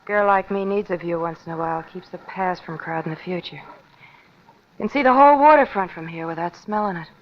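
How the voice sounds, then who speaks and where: A woman's voice: on the low side, fairly quick, natural voice. An actress playing a flirtatious, world-weary waterfront woman in 1940s radio noir, languid, teasing and slangy. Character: heavily made-up waterfront dame, flirtatious, world-weary, wry. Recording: dull, noisy old radio recording.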